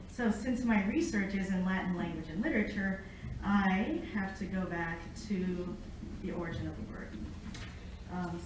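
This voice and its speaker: A middle-aged American woman speaks to a room in an informal lecture. Her delivery is conversational, with a medium pitch, and she is picked up from a distance in a very echoey space with faint noise. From United States, born in Japan. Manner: conversational, engages the audience with questions. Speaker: academic guest speaker, Latin researcher. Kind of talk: informal public lecture with personal storytelling.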